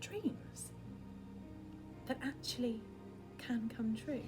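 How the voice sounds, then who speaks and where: Woman, high voice, expressive storybook reading for children, dramatic hushed moments, background music. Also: very slow. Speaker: primary school teacher reading a picture book aloud.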